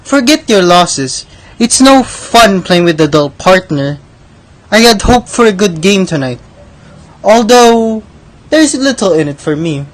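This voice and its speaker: A woman's voice: medium pitch, sing-song, unhurried, rough recording. A woman playing Death as a sly, scornful gambler in a radio drama, with a theatrical, condescending delivery. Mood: teasing, bored.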